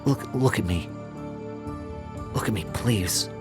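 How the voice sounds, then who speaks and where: A man's voice: lowish voice, slow pace, over music. A man playing an anxious, earnest young male friend who pleads and stammers in a fantasy audio drama. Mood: pleading.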